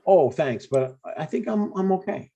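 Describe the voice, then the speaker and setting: A man reads the part of an older father in a comedy script. His voice is soft-edged and husky. He chats breezily and needles gently, stubborn in an everyday, natural way. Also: a little high, animated, moderate pace, highs cut off. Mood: pleased, chatty.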